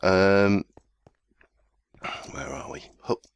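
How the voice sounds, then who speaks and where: Very deep-voiced man with a cold. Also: monotone, drawn-out words, clear, resonant tone. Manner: rambling, self-deprecating, easygoing. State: chesty with a summer cold. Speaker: let's play host. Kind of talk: casual gameplay commentary.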